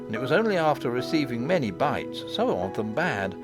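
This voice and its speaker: A man's voice: mid-range voice, big pitch swings, brisk, loud music behind the voice. A man reading a children's fantasy novel as scripted audiobook narration. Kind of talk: scripted storybook narration with character voices.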